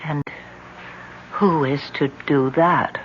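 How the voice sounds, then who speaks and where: A woman playing a grieving, resolute young village woman in old-time radio drama, speaking intensely and theatrically, halting when troubled. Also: deep voice, fairly even intonation. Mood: horrified, dreading. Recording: muffled, noisy old radio recording.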